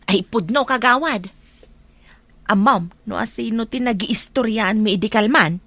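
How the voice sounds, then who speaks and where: Gossipy higher-voiced village woman, played by an actress. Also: a little slow, sharp tone, no room sound, heavily acted. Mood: gossipy, playful. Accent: Ilocano Filipino. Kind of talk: Ilocano radio comedy drama acting.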